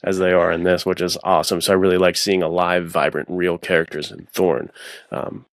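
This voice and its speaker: Low-voiced man, casual and effusive. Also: flat delivery, a little fast, dry recording, clean studio sound. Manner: effusive, slangy. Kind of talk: casual chat.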